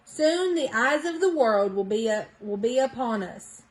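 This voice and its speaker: A woman's voice: fairly high pitch, lively intonation, a little slow, low-quality recording. A woman voicing a dramatic small-town newspaper report in a children's storybook, with grave, emphatic announcing. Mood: alarmed.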